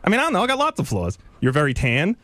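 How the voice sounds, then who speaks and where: Loud nasal man, fast and needling. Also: on the high side, huge pitch swings, no echo. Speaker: radio show staffer. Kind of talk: heated on-air argument.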